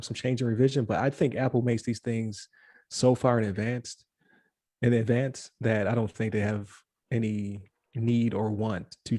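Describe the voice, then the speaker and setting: Mellow-voiced man rambling on a podcast. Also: medium pitch, no room sound, studio quality. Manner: joking, rambling with many fillers and restarts. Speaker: podcast co-host. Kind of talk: casual chat.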